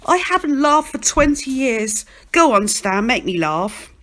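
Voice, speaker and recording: A bitter, downbeat man who hustles at a fairground, acted out by a woman from a storybook. He sighs and needles, and the pitch moves around a lot. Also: big pitch swings, unhurried, fairly bright tone, exaggerated voice. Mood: glum coaxing.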